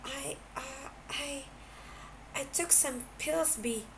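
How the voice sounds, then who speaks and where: Brief: female reader as distraught young man in audio fan fiction; high, halting, tearful, apologetic; noisy home recording. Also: reverberant. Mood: flustered, self-blaming. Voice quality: sobbing, breathy pleading. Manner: stammering, halting. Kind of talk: dramatised fan fiction reading.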